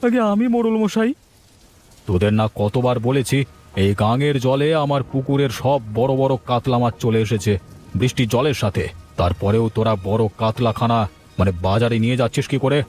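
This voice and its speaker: A man voicing a scheming, greedy village headman with a blustering, commanding manner in an animated story. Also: medium pitch, fairly quick, lightly acted. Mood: bullying, gloating. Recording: faint music behind the voice.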